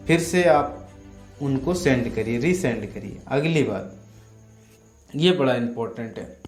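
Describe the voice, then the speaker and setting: A man's voice: medium pitch, lively intonation, unhurried, a little mellow. Guy coaching viewers, emphatic and repeats himself, roomy echo, music underneath. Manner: repetitive, emphatic explaining with rhetorical questions and listed examples. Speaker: network marketing coach. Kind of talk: instructional talk to viewers.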